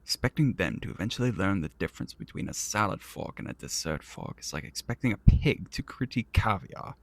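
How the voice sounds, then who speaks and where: An actor playing an arrogant, vain and petulant prince in a fantasy audio roleplay, speaking in a pompous, theatrical and condescending manner. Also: male voice, fairly low pitch, expressive, fairly quick, dry recording. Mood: petulant, indignant. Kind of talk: scripted ASMR roleplay audio drama.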